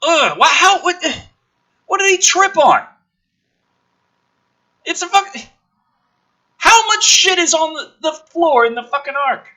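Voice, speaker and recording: A man doing a dramatic script reading with sarcastic, sweary commentary, swinging between hushed narration and exasperated ranting. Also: extremely high pitch, big pitch swings, slow pace, long pauses. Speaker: host of a gaming and commentary channel.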